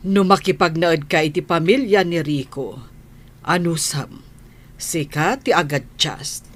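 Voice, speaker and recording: Slow-spoken motherly auntie. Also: low voice. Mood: gentle, reassuring. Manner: motherly, advising, reassuring. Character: caring, motherly middle-aged auntie, a bar boss. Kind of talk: radio drama acting.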